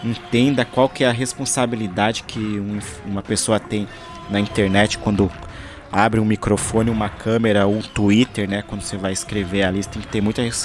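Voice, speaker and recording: A man talking casually over his gameplay footage, rambling and searching for words, from Brazil. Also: fairly low pitch. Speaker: gaming channel host.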